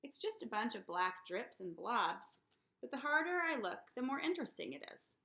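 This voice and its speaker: A woman reading a children's picture book aloud in an expressive, dramatic way for young listeners. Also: a little high, normal speed. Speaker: read-aloud storyteller.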